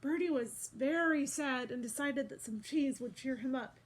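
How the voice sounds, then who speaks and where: A woman's voice: sits high, moderate pace, low-quality recording. A woman storyteller reading a picture book aloud to children, expressively, addressing listeners directly as she goes.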